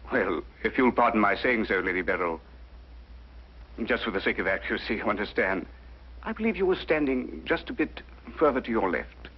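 A man's voice: fairly low pitch, expressive, a little fast, slightly rough. An actor playing a fussy, deferential male secretary, careful and obsequious, in a 1950s mystery drama. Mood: fussy, insistent. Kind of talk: 1950s television mystery acting. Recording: dull, muffled 1950s soundtrack.

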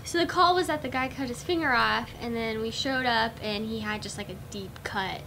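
A woman's voice: a little high, normal speed, sharp tone, soft background music. A young woman co-hosting a vlog, teasing and laughing with her friend, in an indoor office lounge. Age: young adult. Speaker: co-host.

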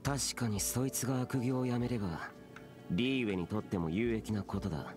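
A man's voice: medium pitch, normal speed, music in the background, close to an everyday voice. A man voicing an aloof, youthful immortal demon slayer in an anime game, stern and solemn, with curt, archaic speech. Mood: solemn, composed.

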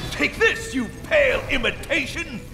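An actor playing a haughty, menacing alien villain in a video game, making grandiose declarations of fear. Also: male voice, extremely high pitch, moderate pace, gravelly, loud music behind the voice. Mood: menacing, defiant. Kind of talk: video game cutscene acting.

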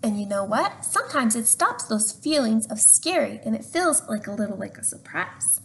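A woman reading a picture book aloud as a gentle father reassuring his young son. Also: on the high side, big pitch swings, normal speed. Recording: noisy home webcam recording.

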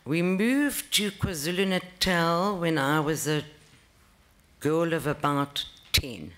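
A middle-aged woman with a South African accent, giving earnest, measured answers at a formal interview, recorded on a table microphone in a large hearing room. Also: deep voice. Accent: South African English. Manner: earnest, measured, with frequent ums. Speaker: judicial candidate being interviewed.

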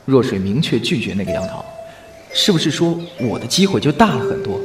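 Actor as pleading young lover, very expressive. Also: male voice, mid-range voice, a little fast, very noisy, lightly acted. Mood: tender. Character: devoted young ex-lover, earnest, pleading, stubborn. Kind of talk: modern audio drama acting. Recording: music under the voice.

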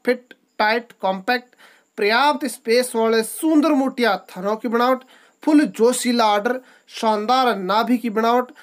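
A woman with a fairly high voice delivers a scripted sales voice-over, listing selling points one after another in a brisk promotional cadence. The read is clean and close, made away from the pictured location. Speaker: livestock sale channel presenter. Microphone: studio voice-over, not recorded on the farm.

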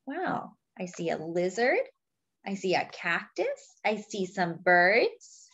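Woman, children's storyteller, unhurried, very melodic pitch, simple phrases, slightly dull lo-fi recording. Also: sing-song, a bit bright, breathy edge. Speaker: children's read-aloud storyteller. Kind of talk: picture-book read-aloud for young children, partly sung.

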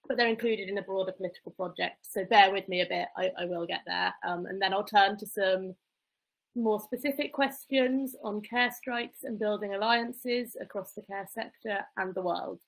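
A young woman with a croaky, recovering voice giving an earnest activist talk on a video call, partly reading aloud. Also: mid-range voice, low-quality recording. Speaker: activist speaker. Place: home room with plants and bookshelves. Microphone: laptop webcam on a video call.

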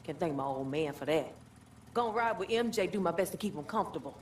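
A woman's voice: on the low side, animated, fast, a little mellow, slight background noise, close to an everyday voice. A woman playing a bold, cocky young rebel driver in a video game, brash and swaggering, with an American accent. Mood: cocky banter. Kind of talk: video game cutscene acting. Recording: distant game audio with music.

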